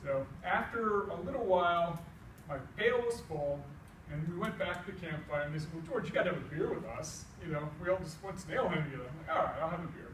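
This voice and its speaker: A middle-aged American man with a fairly high voice tells a comic story in an animated, folksy manner. He plays out each side of the conversations as he goes. The sound is echoey and a little distant, as if recorded across a room. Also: fast. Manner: animated, folksy delivery acting out both sides of dialogue. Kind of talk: live comic tall-tale storytelling. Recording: echoey room, distant mic, faint noise.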